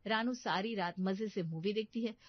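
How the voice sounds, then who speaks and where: A woman's voice: mid-range voice, moderate pace, no room sound, highs cut off. A woman narrating a scripted story as its storyteller.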